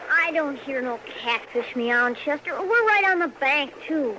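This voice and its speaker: A woman's voice: sits high, expressive, moderate pace. A woman playing a curious, cheeky young frontier boy with folksy speech in an old-time radio western. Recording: noisy vintage radio broadcast.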